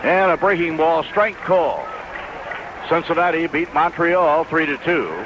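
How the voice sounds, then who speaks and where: A man's voice: a little high, measured pace. A man announcing baseball play-by-play and game statistics on the radio. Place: stadium broadcast booth. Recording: dull noisy vintage AM radio.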